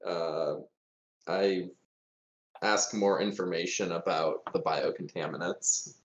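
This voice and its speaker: A man's voice: sits low, steady tone, slow pace, slight background noise. A man chatting casually as a player in a tabletop roleplaying game, recorded with a dull, roomy sound. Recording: dull, echoey room sound.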